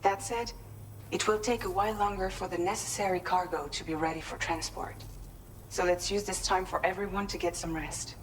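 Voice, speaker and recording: A woman playing a caring, composed crew leader, speaking gently and in an orderly way in a video game. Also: fairly low pitch, steady tone, fairly quick, slight background noise, close to an everyday voice. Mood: caring, businesslike. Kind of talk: video game cutscene dialogue.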